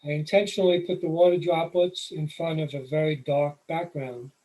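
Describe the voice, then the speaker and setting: A man's voice: on the high side, moderate pace, rough recording. New York man teaching photography. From New York City. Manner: relaxed, explanatory, with occasional fillers. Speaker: photography presenter. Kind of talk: slide presentation lecture.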